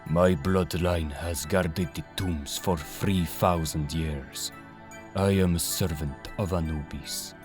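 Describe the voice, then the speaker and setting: A man's voice: low-pitched, fairly even intonation, soft background music. A man playing a solemn, mysterious servant of a death god in an audio drama, speaking formally and ominously. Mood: cold judgment. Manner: slow, ominous, formal delivery.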